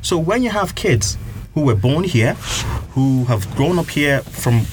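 A man's voice: a little high, big pitch swings, unhurried, noisy background. A man from Nigeria telling personal stories earnestly in a casual chat, building his points with repeated phrases. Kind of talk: reflective storytelling in casual chat.

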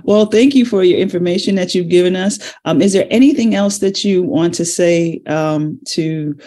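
A man's voice: sits high, normal speed, mellow. A man hosting an interview, asking long, rambling questions with searching restarts. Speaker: host.

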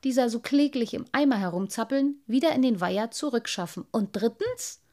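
A woman's voice: fairly high pitch, expressive, a little fast, a little mellow, pitched above the performer's own voice, close to an everyday voice. A woman voicing a merry, self-satisfied little water sprite boy in a storybook, bold and playful. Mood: determined. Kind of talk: storybook character voice.

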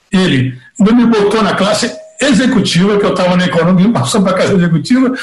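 A middle-aged or older Brazilian man with a Brazilian Portuguese accent, telling anecdotes casually and giving emphatic advice as an interview guest. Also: high-pitched. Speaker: interview guest, veteran concert producer. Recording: roomy, echoey sound.

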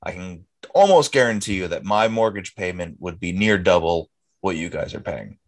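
A man's voice: sits low, expressive, normal speed. A young man co-hosting a casual podcast chat, talking bluntly and grumbling, with frequent swearing. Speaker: podcast co-host.

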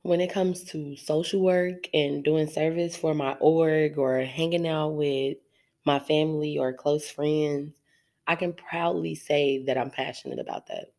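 A woman's voice: sits low, steady tone, unhurried, darkish tone. A woman hosting a casual solo podcast, nasal and congested from a cold, chatty and laughing often as she talks straight to her listeners. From Arizona. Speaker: podcast host.

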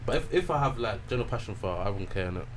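A man's voice: lowish voice, expressive, rapid-fire, a crowd in the background. A young man, earnestly explaining his view in a casual chat with friends. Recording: noisy background.

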